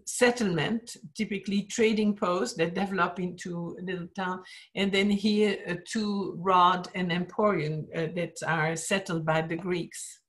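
A woman lecturing over slides on art history, explaining steadily and pausing often with "uh" as she finds her words. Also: mid-range voice. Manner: explanatory, frequent "uh" pauses, trailing off at phrase ends. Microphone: screen-share talk, webcam in corner.

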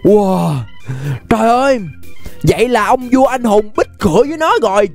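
A young man with a Southern Vietnamese accent giving excited, exclamatory gameplay commentary, repeating phrases and dropping in English interjections. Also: high-pitched. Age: young adult. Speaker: gaming YouTuber. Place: indoor gaming room.

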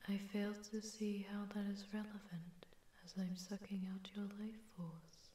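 Woman doing a seductive demon lady for asmr roleplay, breathy dark whisper, condescending, echoey effect on it. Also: medium pitch, steady tone, slight background noise. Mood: puzzled, condescending. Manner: slow, condescending, teasing. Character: seductive, haughty female sleep paralysis demon. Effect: echoing distortion effect.

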